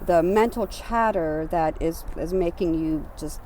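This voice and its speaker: A woman's voice: lowish voice, a little slow, rough recording. Earnest Hungarian-born woman in her fifties. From Romania. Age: 50s. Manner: earnest, animated, repeats words when emphatic. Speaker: interviewee. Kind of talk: street interview, casual talk. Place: outdoors on an urban trail. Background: faint traffic.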